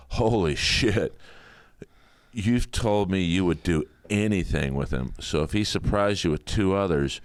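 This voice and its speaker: Low-pitched man bantering crudely. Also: clear, resonant tone, no room sound. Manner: jokey, profane. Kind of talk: crude casual banter, mock-dramatic reading aloud.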